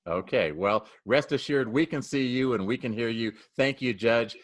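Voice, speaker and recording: A man's voice: mid-range voice, normal speed. A man moderating a panel on a video call, making friendly and polite introductions.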